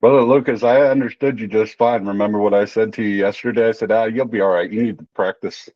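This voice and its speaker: A man's voice: on the low side, steady tone, fast, darkish tone, no room sound. A man chatting in a friendly, reassuring way on a video call. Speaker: participant. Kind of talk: casual chat on a video call. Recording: dull lo-fi video-call audio.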